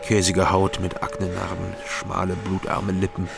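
A haunted, gravelly ex-soldier. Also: male voice, sits low, completely flat delivery, moderate pace, music in the background. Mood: brooding. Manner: brooding, pleading. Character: haunted male ex-soldier, guilt-ridden and drinking. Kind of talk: radio drama acting and inner narration.

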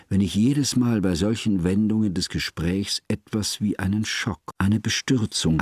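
Bookish scholar telling his friend's life on radio, formal and pedantic, low soft-edged mellow voice, dry close sound. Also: no room sound. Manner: measured, formal, pedantic, ornate diction. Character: educated, prudish-denying male scholar narrating his friend's life. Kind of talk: literary radio drama narration.

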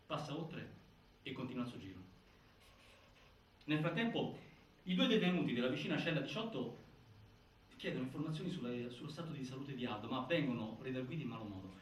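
Casting: male actor as grave stage narrator, mid-pitched, deliberate segmented phrasing, heavily reverberant room. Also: lively intonation, lightly acted. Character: grave male narrator laying out a prison-death inquiry. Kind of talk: dramatic scripted stage narration. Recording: very echoey, distant, faint noise.

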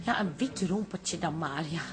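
An actress playing a chatty, dreamy, fussing wife in a Dutch radio drama, earnestly lost in fantasy. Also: female voice, on the low side, expressive, moderate pace, music in the background, close to an everyday voice.

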